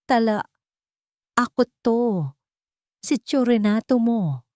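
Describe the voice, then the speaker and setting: A woman's voice: fairly high pitch, slow, warm tone, no room sound, clean studio sound, voice pushed up. A woman voicing a frightened, pleading uncle with a trembling voice in an audio story. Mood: pleading, fearful. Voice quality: trembling, breaking voice. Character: terrified pleading uncle, weepy and desperate man.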